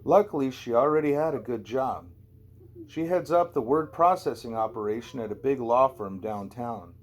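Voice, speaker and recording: A man's voice: mid-range voice, normal speed. A man narrating a first-person novel as an amateur audiobook reader, in a conversational, wry storytelling way.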